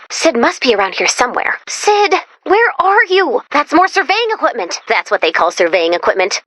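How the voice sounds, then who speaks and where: A woman's voice: high voice, bright, forceful tone, dry recording, full character voice. A woman voicing a perky, nervous, geeky female robot assistant in a video game, chatty, quirky and easily flustered. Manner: flustered, quirky, halting then gushing. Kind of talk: animated video game character voice lines.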